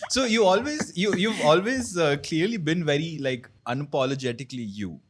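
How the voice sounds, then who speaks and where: A man's voice: a little high, expressive, moderate pace. Hesitant male podcast interviewer. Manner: conversational, hesitant, many fillers. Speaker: podcast host. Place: restaurant kitchen.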